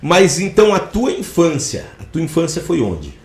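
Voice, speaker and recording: Male podcast host, upbeat and flowery, slightly high voice in a roomy, echoey space. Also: wildly swooping pitch, moderate pace, darkish tone. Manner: effusive, inspirational phrasing. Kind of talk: podcast interview.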